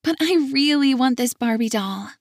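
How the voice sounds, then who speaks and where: A woman voicing a pleading four-year-old girl who begs in a high, whiny voice. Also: animated, moderate pace, no echo, clean studio sound.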